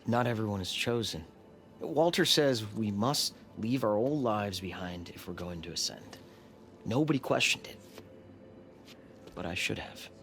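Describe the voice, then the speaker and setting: A man's voice: lowish voice, animated, a little slow, long pauses, a bit bright, faint music behind. A man playing an anxious, regretful follower of a cult in a video game, hesitant and subdued. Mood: somber, regretful. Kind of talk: naturalistic video game cutscene acting.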